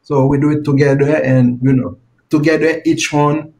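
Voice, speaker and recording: Haitian french accent guy, kinda high voice, earnest, simple wording, slight pauses. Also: steady tone, gravelly, darkish tone, poor audio. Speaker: financial advisor guest. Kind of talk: interview answers.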